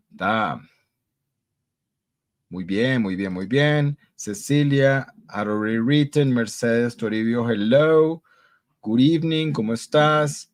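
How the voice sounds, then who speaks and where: A man teaching an English grammar class live online, upbeat and encouraging, switching between Spanish, English and Portuguese. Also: medium pitch. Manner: repeats praise like muy bien. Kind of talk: live online class reading student answers.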